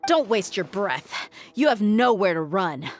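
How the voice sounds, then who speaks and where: An actress playing a tough, confident mercenary warrior in a video game, speaking in a blunt, cocky way. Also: female voice, medium pitch, sing-song, a little slow. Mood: taunting. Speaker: game character. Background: game music.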